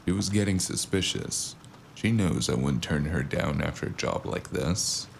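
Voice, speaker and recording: A man playing a gravelly, sardonic noir investigator. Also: deep voice, lively intonation, normal speed, a little hiss or hum. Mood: guarded, tired. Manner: sardonic, wry. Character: sardonic world-weary cyberpunk investigator, a wounded man. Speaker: narrator and lead character. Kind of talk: first-person noir audio drama narration and dialogue.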